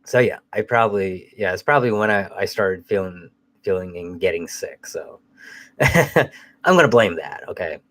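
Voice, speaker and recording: A man's voice: low voice, animated, moderate pace, a bit bright. A man hosting a casual live chat while fighting a cold, sounding nasal, rambling loosely and swearing now and then. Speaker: host.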